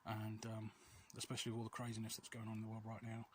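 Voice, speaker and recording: A man chatting casually and confessionally while out walking, hesitant, rambling and self-deprecating, recorded outdoors on a quiet street. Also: lowish voice, monotone, quick pace. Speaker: vlog host. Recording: noisy outdoor recording with clicks.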